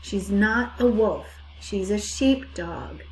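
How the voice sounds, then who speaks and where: A woman voicing a gentle, earnest young male piglet who speaks very politely and formally. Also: a little high, big pitch swings, moderate pace, slight background noise. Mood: hurt. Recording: echoey room.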